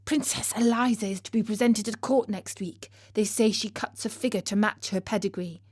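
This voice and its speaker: A woman's voice: medium pitch, normal speed, a little mellow, close to an everyday voice. A woman voicing a gossipy, cheeky kitchen maid with a mocking manner in a storybook. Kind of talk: storybook character voice.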